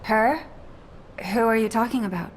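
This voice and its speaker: A woman's voice: a little high, moderate pace, a little hiss or hum, lightly acted. An actress playing an earnest, polite young female operative in a video game, eager and caring. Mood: earnest, respectful.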